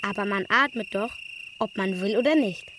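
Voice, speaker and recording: A woman's voice: medium pitch, moderate pace, noisy background, lightly acted. A woman playing a curious, frank young child in a German radio play, asking and telling things directly. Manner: inquisitive, direct.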